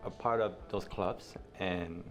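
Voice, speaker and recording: A man's voice: low voice, fairly even intonation, slow, faint music behind. A male student from South Korea, a native Korean speaker, talking carefully and hesitantly at a roundtable. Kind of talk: casual roundtable talk.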